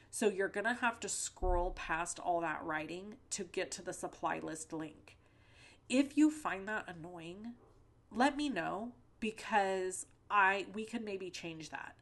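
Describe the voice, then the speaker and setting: A middle-aged woman chats her way through a crafting tutorial recorded at home, wandering into personal asides and filling pauses with ums and likes. Her voice sits a bit low for a woman and sounds slightly stuffed up from the tail end of a cold. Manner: rambling, conversational, many fillers and asides. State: a little stuffy-nosed from a lingering cold. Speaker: card-making channel host. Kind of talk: chatty crafting tutorial voice-over with personal stories.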